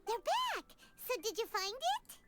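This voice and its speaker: A woman voicing a cheerful, energetic young girl chef in a video game, eager and friendly. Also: very high voice, a little slow, very noisy, heavily acted. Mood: perky, eager. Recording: loud game music behind. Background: video game music.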